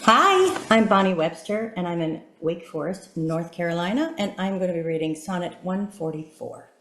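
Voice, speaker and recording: A woman briefly introduces herself, then reads a sonnet aloud in a clear, steady manner. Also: mid-range voice. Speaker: poetry reader. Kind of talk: sonnet recitation. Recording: roomy echo.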